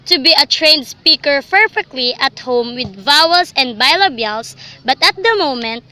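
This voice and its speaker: A woman's voice: high voice, bright, forceful tone. A young woman reading scripted literary narration for a student radio drama. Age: young adult.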